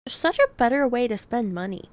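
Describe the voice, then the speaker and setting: A woman's voice: fairly high pitch, expressive, normal speed, no echo. A woman livestreamer chatting casually while she plays a game, with playful exclamations and asides. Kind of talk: casual gaming stream chat.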